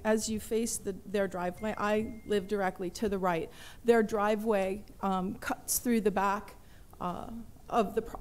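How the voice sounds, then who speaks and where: A middle-aged woman giving halting public testimony at a standing mic in a large hearing room, with many fillers. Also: medium pitch, a little mellow.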